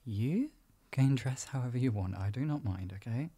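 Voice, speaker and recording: A man playing a gentle, doting boyfriend in an improvised ASMR roleplay, coaxing softly and reassuringly in an intimate, husky, gravelly voice. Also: mid-range voice, lively intonation, normal speed. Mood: gentle concern. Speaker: voice actor in audio roleplay.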